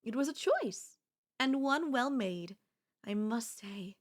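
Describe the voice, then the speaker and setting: A high-pitched, sweetly possessive yandere girlfriend, acted by a woman for an ASMR roleplay. She is theatrical and insistent, coaxing her beloved with flowery endearments and elegant teasing. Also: lively intonation, slow. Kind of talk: ASMR audio roleplay acting.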